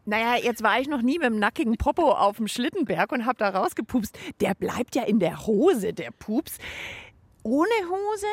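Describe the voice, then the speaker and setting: A woman's voice: fairly high pitch, sing-song, normal speed, breathy edge, no echo. A woman co-hosting a children's science podcast in playful, chatty fashion. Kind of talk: playful scripted chat.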